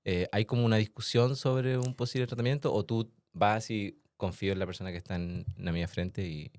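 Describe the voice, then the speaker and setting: A man hosting a podcast interview in a casual, chatty way, laughing often and making quick asides. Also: on the low side, fairly even intonation, darkish tone, no room sound, clean studio sound. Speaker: podcast host and massage therapist.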